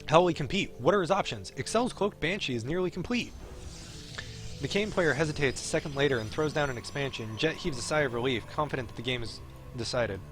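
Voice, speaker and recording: A man's voice: a little high, fast talker. A man reading a visual novel aloud on a stream, in dramatic narration with an esports commentary flavour. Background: faint game music.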